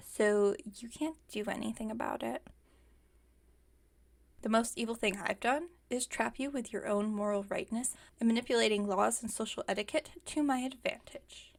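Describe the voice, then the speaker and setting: Woman acting as female mad-science villain neighbor, higher-pitched, slightly creaky, chatty, teasing, stubbornly defensive, ASMR roleplay. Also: normal speed. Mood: smug, defensive. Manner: chatty, teasing, mock-innocent rambling. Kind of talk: ASMR roleplay, one-sided conversational acting.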